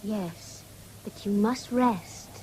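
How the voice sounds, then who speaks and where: An actress playing an anxious, gently firm woman nursing an invalid in a 1970s radio drama, with an English accent. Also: mid-range voice, extremely slow, rough recording. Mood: coaxing, worried. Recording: hissy old radio broadcast recording.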